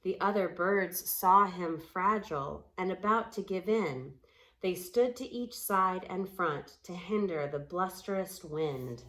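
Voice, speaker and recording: A woman reading poetic children's stories aloud in a measured, expressive way with deliberate phrasing. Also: fairly low pitch, low-quality recording. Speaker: storybook reader. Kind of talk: storybook read-aloud in rhyming, poetic prose.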